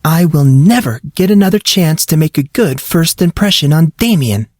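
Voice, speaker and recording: Man putting on a haughty, high-pitched furious teenage girl. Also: animated, moderate pace, darkish tone, no echo, clean studio sound. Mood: cold, furious. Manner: indignant, dramatic.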